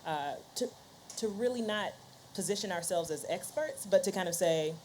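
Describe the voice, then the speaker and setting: A woman's voice: on the low side, animated, moderate pace, darkish tone, slight background noise. A woman podcast host speaking conversationally on a panel, voicing little quotes as she explains.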